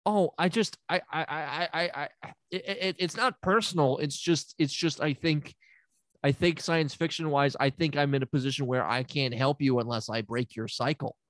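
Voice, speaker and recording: A man playing a flustered, rambling therapist who stammers and slips into a hushed meditation voice, in an improvised comedy. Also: a little high, fairly even intonation, moderate pace, no room sound, natural voice. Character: bumbling, flustered male therapist. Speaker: therapist character.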